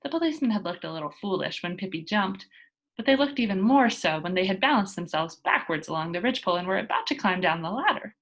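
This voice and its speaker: A woman reads a children's book aloud. Her delivery is animated and expressive, with wide movement in pitch. There is a husky, slightly rough grain in her voice. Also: fast talker. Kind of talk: expressive read-aloud storytelling.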